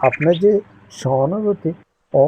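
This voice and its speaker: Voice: medium pitch, animated, a little slow, close to an everyday voice. A voice actor playing a deceitful female crow who talks in a humble, pleading, ingratiating way. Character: deceitful female crow posing as poor refugee. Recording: noisy recording.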